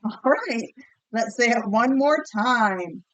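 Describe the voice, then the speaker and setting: A woman's voice: on the high side, takes it slowly, fairly bright tone, low-quality recording. A woman teacher leading a read-aloud vocabulary lesson with children, encouraging and questioning, enunciating words for pupils to repeat.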